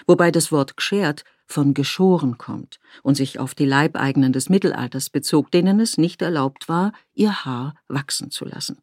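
A woman from Tyrol, narrating a documentary feature in a measured, reflective way. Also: deep voice, darkish tone, no room sound, clean studio sound. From Tyrol, Austria.

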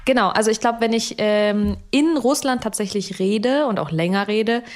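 A woman's voice: on the high side, moderate pace, faint music behind. A young woman co-hosting a podcast in casual chat, raised speaking Russian at home, talking freely and laughing often. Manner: chatty, laughs often, fillers. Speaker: podcast co-host.

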